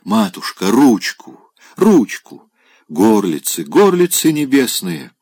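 A man's voice: lowish voice, wildly swooping pitch, takes it slowly, a little mellow, no room sound, pitched above the performer's own voice, heavily acted. A man voicing a drunken, pompous old clerk who wails in churchy old-fashioned phrases. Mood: declaiming. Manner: churchy archaic phrasing, wailing.

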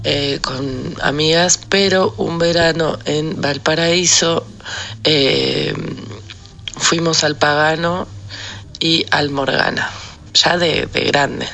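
A woman with an Argentine accent telling a casual anecdote haltingly in a voice message with faint noise behind. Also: low voice, steady tone, creaky, a bit bright. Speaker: listener sending an audio message.

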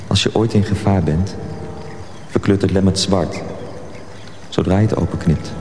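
A man's voice: low voice, steady tone, normal speed, warm tone, slight background noise. An actor playing a grave young miller's man, a loyal friend speaking solemnly and confidingly in a radio drama. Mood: solemn, warning. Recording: echoey with long reverb, faint music.

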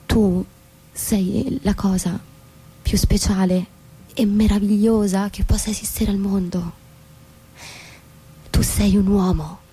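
A frustrated young suburban wife in a radio play. Her voice is soft-edged, mellow and husky. She presses her point intensely, repeating words with fervent insistence. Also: medium pitch, steady tone, slow pace, long pauses, poor audio. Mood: intense, pleading. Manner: intense, insistent, emphatic repetitions. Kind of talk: stage-style radio drama dialogue.

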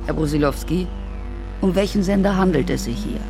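An actress playing a sceptical official reading a document aloud in a radio drama, formal and officious. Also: female voice, lowish voice, a little fast, music in the background, natural voice. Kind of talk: German radio drama acting.

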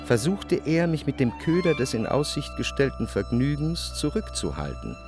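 A reflective literary narrator in a radio play. His medium-pitched voice recounts things in measured, analytical phrases, with music clearly audible underneath. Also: huge pitch swings. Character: reflective, observant male first-person literary narrator. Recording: clear musical backing behind the voice.

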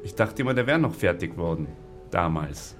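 A studio staffer in a radio drama. His voice is very low and his delivery is dry, offhand and sardonic, with music clearly audible behind him. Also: big pitch swings, moderate pace. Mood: curious, frank. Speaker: actor.

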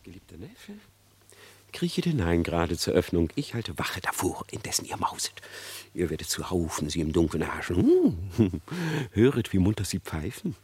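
An actor playing a sly, flattering, treacherous male fox in a verse radio play, with wheedling false courtesy. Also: low voice, moderate pace, slightly rough, heavily acted. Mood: sly, coaxing. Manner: wheedling, theatrical, feigned courtesy.

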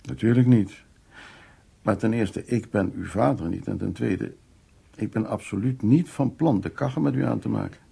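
Radio drama: older male restorer, dark gravelly voice, genial, stubborn, teasing, unforced naturalistic delivery. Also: on the low side, normal speed, full character voice. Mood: dry, irritated. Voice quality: husky, gravelly. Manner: informal, teasing, dismissive of worries.

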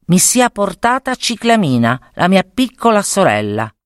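A woman's voice: mid-range voice, very animated, measured pace, no room sound, clean studio sound, voice pushed up. A woman playing a young fairy-tale hero. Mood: earnest.

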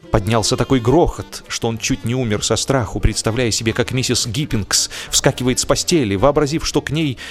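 Expressive male storyteller over music. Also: on the low side. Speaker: audiobook narrator. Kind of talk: expressive scripted narration of a humorous story. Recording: music behind the voice.

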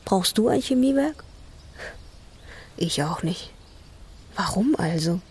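An actress playing a disdainful idler in a radio play, speaking in a questioning manner. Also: female voice, medium pitch, expressive, takes it slowly, darkish tone, breathy edge, heavily acted. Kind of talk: stylised radio play acting.

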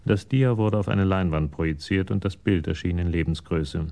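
A man's voice: low-pitched, normal speed, vocal fry, mellow. A man giving sober scripted narration as the narrator of a German radio crime story.

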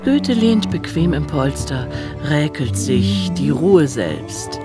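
High-voiced wry radio narrator over music. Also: male voice, sing-song, slow pace, full character voice. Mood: measured, descriptive. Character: wry narrator relaying action in present tense. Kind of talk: scripted radio play narration. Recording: loud music behind narration.

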